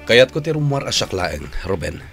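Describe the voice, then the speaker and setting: A man's voice: fairly low pitch, sing-song, normal speed, creaky, over loud music. A man playing a resolute, remorseful older brother in an Ilocano radio drama, earnest and emphatic.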